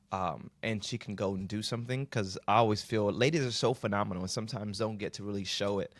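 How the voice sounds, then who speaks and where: Man, podcast host, from Massachusetts, middle-aged, lowish voice, chatty, rambling. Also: a bit bright, no echo, studio quality. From Massachusetts, US, then Florida. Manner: chatty, rambling questions, friendly. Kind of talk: casual interview talk.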